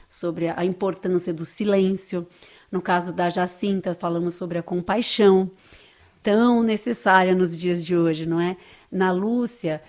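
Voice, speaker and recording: A woman's voice: medium pitch, a little mellow. A woman with a Brazilian Portuguese accent speaks as an interview guest. She sounds earnest and enthusiastic, and often checks in with her listener using tag questions. Her voice sits in a middle register. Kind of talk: interview answers.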